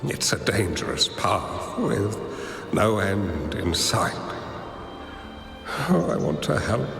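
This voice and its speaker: Old game strategist, gravelly, very slow, gentle, philosophical, cavernous echo, music underneath. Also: male voice, lowish voice, exaggerated voice. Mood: gentle, resigned. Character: aged hermit strategist mentor, grave, serene, nearing death. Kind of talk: video game story monologue. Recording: echoey hall reverb under clear music.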